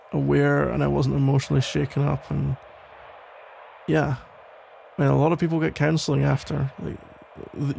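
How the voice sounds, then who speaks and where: Man playing a gravelly, tender protective police officer. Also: medium pitch, slight background noise. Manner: hesitant, halting, rambling with sighs and soft chuckles. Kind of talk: ASMR roleplay, intimate one-sided conversation.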